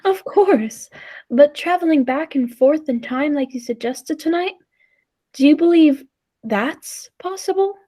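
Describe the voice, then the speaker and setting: A woman performs a courteous, skeptical guest in an old-time radio play. The voice is high but mellow, with a hesitant, deferential delivery that breaks easily into exclamation. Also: animated, measured pace. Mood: polite, hesitant. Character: polite, timid, skeptical dinner guest of the professor. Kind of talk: 1940s radio play acting.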